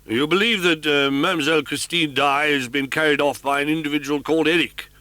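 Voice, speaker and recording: A man voicing a calm, skeptical male police commissary who speaks with dry amusement. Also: a little high, a little fast, no echo, voice pushed up.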